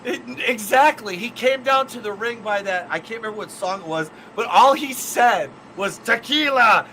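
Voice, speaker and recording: A man's voice: high-pitched, animated, moderate pace, bright, forceful tone, a little hiss or hum. A man, co-hosting a wrestling podcast, telling rambling, profane stories about ring announcing. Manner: swears freely, rambling storyteller. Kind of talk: casual profane chat.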